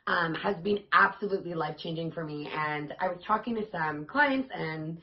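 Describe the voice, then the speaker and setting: Hoarse, raspy-voiced woman fitness coach. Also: on the low side, normal speed, muffled recording. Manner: upbeat, chatty and encouraging. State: hoarse, voice worn out. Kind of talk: casual vlog talk to camera.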